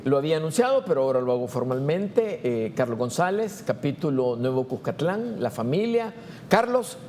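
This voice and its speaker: A man's voice: a little high, darkish tone, a little hiss or hum. A man hosting a TV program, courteously and formally introducing a guest with some hesitant repeats. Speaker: TV program host.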